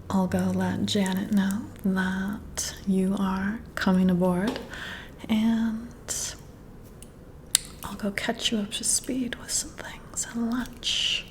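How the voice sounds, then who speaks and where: A woman whispering up close in an ASMR roleplay as a flirty, intrigued boss, breathy and intimate with drawn-out pauses. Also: mid-range voice, slight background noise. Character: flirty, intrigued female boss giving a job interview. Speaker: ASMR performer role-playing a flirty new boss. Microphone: close-up ASMR microphone.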